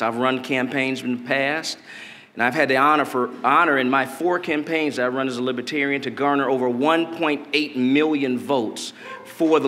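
A middle-aged man from Detroit, a political candidate delivering a campaign speech at a podium in a convention hall, deliberate and measured with emphatic rises. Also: mid-range voice, pushed and bright. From Detroit, Michigan. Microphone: podium mic. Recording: long hall reverb.

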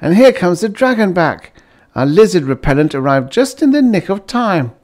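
Storybook pioneer husband acted by a male reader: upbeat, boastful, earnest, very animated intonation. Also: high voice, moderate pace, pitched above the performer's own voice. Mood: eager.

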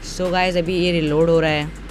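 A man's voice: on the high side, flat delivery, normal speed, faint music behind. A young man giving an informal, step-by-step tutorial voice-over with repetitive, instructional phrasing. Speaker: tutorial presenter. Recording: noisy, outdoor traffic-like background.

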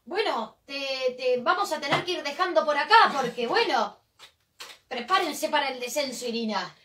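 Woman playing a bossy, animated clown captain. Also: high voice, normal speed. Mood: earnest, theatrical. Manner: commanding, theatrical. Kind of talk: comic clown radio-play performance. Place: small indoor room, three performers at table. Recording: echoey room, distant mic, faint noise.

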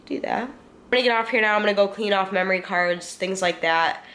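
A woman's voice: medium pitch, a little fast, a bit bright. A young woman with a cold and a congested, nasal voice, chatting casually to the camera from her living room couch, rambling and swearing now and then. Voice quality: nasal, stuffy. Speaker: vlogger. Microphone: handheld camera close to the face.

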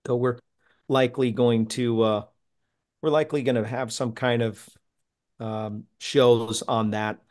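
A man's voice: medium pitch, fairly even intonation, unhurried, a little mellow. Male podcast host, casual and upbeat, video-call sound. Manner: chatty, laughs often, upbeat.

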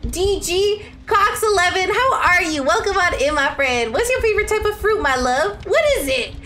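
A young woman streams a game in a very high voice that swings widely in pitch. She talks playfully to her chat in a dramatic, gushing way, with some background noise behind her. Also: moderate pace. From Ontario, Canada. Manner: animated and playful, with dramatic outbursts and gushing endearments. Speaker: live streamer. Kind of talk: chatty game livestream commentary, reading out viewer chat. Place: indoor room at a streaming setup.

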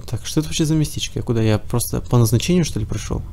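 A man's voice: mid-range voice, racing, vocal fry, darkish tone, a little hiss or hum. A man with a cold giving casual running commentary while playing a game, chatting to himself and to the enemies on screen. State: has a cold. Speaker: let's play gamer.

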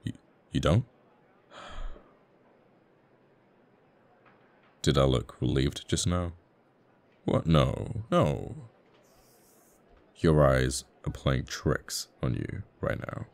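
A man playing a flirty, tender fighter pilot in a romantic ASMR roleplay, stammering bashfully and haltingly. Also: very deep voice, full character voice. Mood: bashful, defensive.

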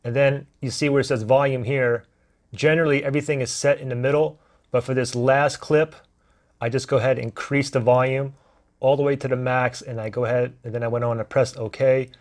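A man in his thirties talking through a camera microphone test step by step, in a relaxed way with fillers, recorded indoors on a camera-mounted shotgun mic. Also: medium pitch, brisk. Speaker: gear reviewer. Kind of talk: casual how-to walkthrough. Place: indoor room, desk demo.

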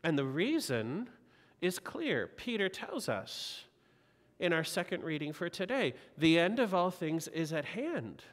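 A man's voice: fairly high pitch, big pitch swings, measured pace. A man in his forties preaching a sermon from the pulpit, earnest and exhorting, with repeated rhetorical questions. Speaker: pastor. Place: large church sanctuary. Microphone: pulpit mic. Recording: long reverb of a church hall.

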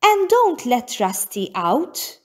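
A woman voicing a farmer mother in a storybook, polite and firm, giving clear instructions. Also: fairly high pitch, big pitch swings, slow pace, pitched above the performer's own voice.

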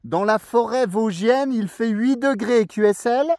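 A man's voice: very high voice, a little slow, darkish tone. A man making a casual radio contact outdoors, speaking courteously as an amateur operator in radio jargon. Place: outdoors in a forest clearing.

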